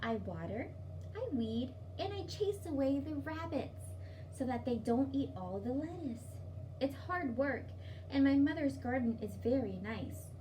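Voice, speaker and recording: A woman's voice: high voice, expressive, a little slow, dark tone. A woman hosting a children's library story time, speaking in a simple, encouraging, sing-song way to young children. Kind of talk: children's story time with rhymes, signing and read-aloud. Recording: distant mic, noticeable background noise.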